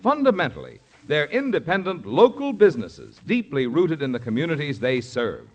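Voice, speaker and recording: A man's voice: on the high side, huge pitch swings, normal speed. A man reading scripted 1950s radio announcements as the show's announcer, on a vintage broadcast recording. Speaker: radio announcer and sponsor spokesman. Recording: vintage radio broadcast, some music behind.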